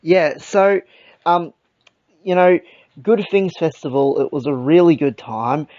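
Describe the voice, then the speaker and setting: A young Australian man with a croaky voice, hosting a casual podcast chat, rambling and swearing with lots of fillers. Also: a little high, fairly even intonation, measured pace, a little mellow, no echo. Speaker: podcast host. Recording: dull lo-fi sound.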